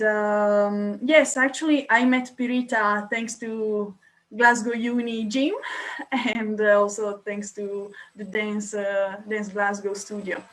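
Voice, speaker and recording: Slow-talking young Italian woman. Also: medium pitch, a bit bright, poor audio. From Italy. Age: young adult. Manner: hesitant, with very frequent filled pauses. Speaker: podcast guest. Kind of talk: casual interview talk.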